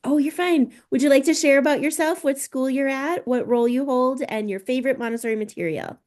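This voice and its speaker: Woman, high-pitched voice, encouraging, affirming, informal meeting host. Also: fairly quick, a little mellow. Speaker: host of a staff information session.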